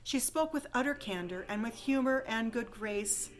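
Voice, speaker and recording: A woman reading a rhyming holiday story poem aloud with expressive, dramatic storytelling emphasis. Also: fairly high pitch, normal speed, slight background noise, low-quality recording. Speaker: poem reader.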